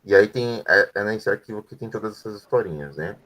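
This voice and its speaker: Low-voiced man teaching a class. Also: a little fast, fairly bright tone, poor audio. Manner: patient, explains step by step with frequent "né?". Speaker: French teacher. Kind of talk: explaining grammar in an online class.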